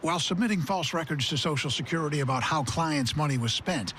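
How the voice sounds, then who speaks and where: Higher-voiced man narrating an investigative report. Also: fast, very noisy. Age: middle-aged or older. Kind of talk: scripted news report narration.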